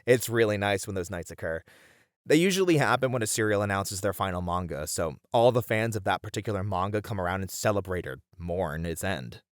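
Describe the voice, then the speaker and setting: Man playing a low-voiced, easygoing young bunny boy. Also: animated, a little fast, no room sound, clean studio sound. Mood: fond, nostalgic. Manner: chatty, easygoing, welcoming, with casual slangy hesitations. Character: friendly young bunny boy, nostalgic manga cafe regular. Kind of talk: ASMR roleplay, casual conversational acting.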